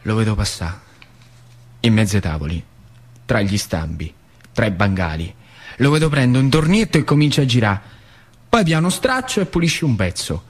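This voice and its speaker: A man's voice: lowish voice, animated, normal speed, pauses often, husky. An actor playing an old working-class storyteller with a central Italian dialect from northern Lazio, speaking in an earthy theatrical monologue and prodding his listener with questions. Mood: nostalgic, intense.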